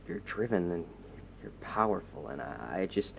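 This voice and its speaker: Low-voiced, earnest young hero played by a man. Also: big pitch swings, vocal fry, slight background noise, heavily acted. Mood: awkward, tender. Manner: halting, informal, sincere. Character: earnest young male self-styled superhero, awkwardly affectionate. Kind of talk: naturalistic audio drama dialogue. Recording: slightly dull, muffled recording.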